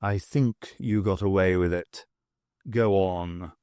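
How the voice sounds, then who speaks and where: A man's voice: low-pitched, fairly even intonation, slow, darkish tone, clear, resonant tone, no room sound, pitched below the performer's own voice. A man voicing a dry, impatient male cat companion who mutters, in an audiobook. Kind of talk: audiobook character voice.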